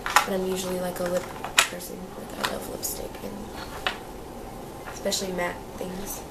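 A woman's voice: lowish voice, completely flat delivery, normal speed, gravelly, heavy background noise. A young woman with a slightly nasal voice from a cold, chatting casually as she reviews beauty products in a small room. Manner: chatty, lots of fillers and repeats. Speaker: beauty vlogger.

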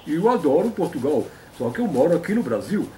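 A man's voice: on the high side, sing-song, a little fast, warm tone, a little hiss or hum, low-quality recording. A man voicing a boastful, pompous old grandpa puppet in a comic interview. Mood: cheerful, proud. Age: older. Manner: pompous, folksy, repeats a stock affirmation.

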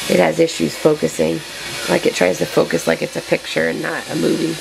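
Gravelly, hoarse woman chatting on camera. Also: low-pitched, completely flat delivery, fairly quick, background noise. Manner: chatty and rambling, many fillers, reassuring. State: losing her voice from allergies. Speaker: dog breeder filming her puppies. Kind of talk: casual chat to camera. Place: indoor puppy room with wood floor. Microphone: handheld camera mic, moving around.

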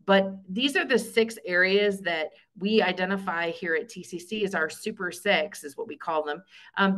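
A woman from Michigan with a Northern US accent, giving a webinar lecture over slides in a clear, explanatory way with frequent ums. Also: lowish voice, a bit bright. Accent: Northern US, Michigan with some Texas. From Michigan, USA. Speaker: accessibility presenter.

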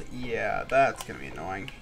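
A man's voice: fairly low pitch, vocal fry. Stuffy young man gaming. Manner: laid-back, swearing, frustrated muttering. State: stuffy, sore throat. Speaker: gaming channel host. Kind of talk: casual let's-play commentary. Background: game music.

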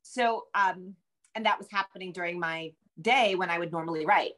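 A woman's voice: fairly low pitch, moderate pace, a bit bright. A woman in her forties giving conversational interview answers as a guest author on a video call. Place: home room with bookshelves, indoors.

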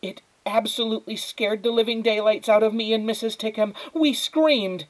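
A woman's voice: a little high, moderate pace, voice pushed up, lightly acted. A woman voicing a flustered, theatrical, fussy mother who gushes and exclaims in an audiobook. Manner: exclamatory, gushing.

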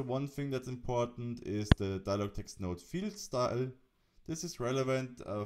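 A man presenting a software tutorial, narrating the setup step by step. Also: lowish voice.

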